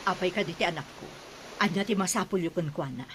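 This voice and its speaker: A woman's voice: fairly low pitch, fast, a little hiss or hum, natural voice. A woman with a Filipino accent playing a humble, worried mother defending her son in a radio drama. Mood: pleading, indignant.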